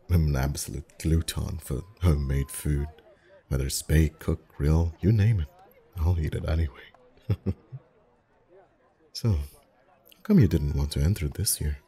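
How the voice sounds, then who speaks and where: A man playing a friendly, flirty festival face painter in an ASMR roleplay, chatting in an easygoing, gently teasing way. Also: very deep voice, slightly rough, exaggerated voice. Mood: impressed, amused. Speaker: voice actor in an audio roleplay. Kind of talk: ASMR roleplay, one-sided intimate conversation.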